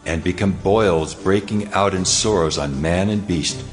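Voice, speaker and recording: A man playing God in a dramatized scripture audio drama, solemn and majestic, speaking in deliberate declamation. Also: sits low. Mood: solemn command. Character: God of Israel, solemn, commanding, majestic divine voice. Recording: reverberant hall, music underneath.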